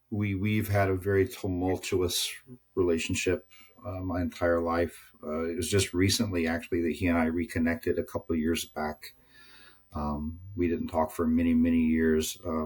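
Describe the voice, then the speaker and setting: A man from the Southern United States, a podcast guest thoughtfully telling a personal story with frequent pauses. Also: low-pitched. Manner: measured, with frequent "uh" and "um".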